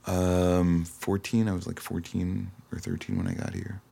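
Male interview guest, extremely low and gravelly, thoughtful and halting, conversational. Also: very animated, dark tone. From Toronto, Canada. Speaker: singer-songwriter guest. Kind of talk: casual interview chat.

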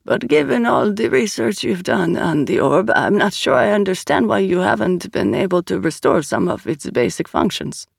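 A man acts out a polite senior cleric running out of patience in an audiobook. The voice is high for a man and creaky, with a courteous but edged delivery. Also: sing-song, normal speed, few pauses, no room sound, clean studio sound, full character voice. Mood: impatient, polite. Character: politely impatient male senior church official. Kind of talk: audiobook character dialogue.

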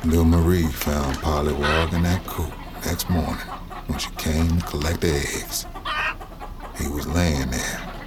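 Very deep-voiced Southern storyteller. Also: male voice, fairly even intonation, a little slow, very noisy. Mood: grimly folksy. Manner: folksy, matter-of-fact. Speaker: narrator telling his story to an interviewer. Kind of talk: audio drama first-person storytelling.

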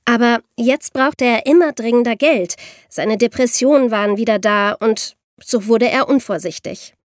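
A woman playing a young podcast host who explains crime cases to friends in a clear, patient, conversational way. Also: a little high, moderate pace, no room sound, studio quality, lightly acted. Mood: explanatory, engaged.

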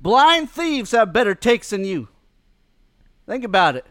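A man's voice: high-pitched, sing-song, unhurried, fairly bright tone, dry recording, studio quality, heavily acted. A man voicing a laid-back Southern male comic with a put-on Southern accent, roasting with teasing jokes. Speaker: comedy podcast co-host. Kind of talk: mock roast comedy bit.

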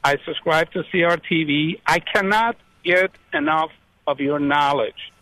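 A male caller from Iran with a fairly high voice, pouring out thanks in an excited, effusive rush. The sound is dry and close. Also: a little slow, no room sound, low-quality recording. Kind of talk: call-in radio conversation.

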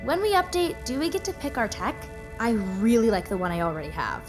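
Very high-pitched eager student. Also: female voice, big pitch swings, fairly quick, over music. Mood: eager, curious. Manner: youthful, keen questioning. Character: eager, curious female student. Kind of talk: audio drama acting.

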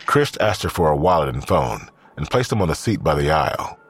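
A man giving a measured, grave scripted narration of a crime drama. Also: a bass voice, big pitch swings, a little hiss or hum. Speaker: narrator of a fiction podcast.

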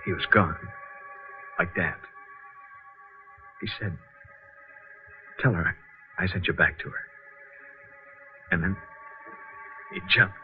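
A grave man speaks slowly in a very low voice. It is radio melodrama, heard through a thin, tinny vintage recording. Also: faint music behind. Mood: grave, hushed. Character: grave man recounting a death. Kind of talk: 1940s radio melodrama acting.

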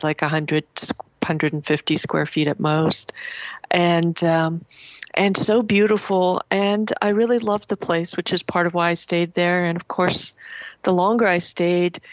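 A middle-aged woman with a fairly low voice speaks as a literary interview guest. She is articulate and reflective, stacking phrases into long lists. The sound is very dry and a little dull. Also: no echo. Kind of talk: reflective literary interview, reading from own memoir.

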